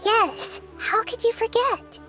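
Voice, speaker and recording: A woman's voice: very high voice, takes it slowly, over loud music. A woman voicing a small, childlike nature deity in a video game, wise, kind and curious, with a thoughtful, articulate manner. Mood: curious, puzzled. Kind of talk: scripted video game dialogue.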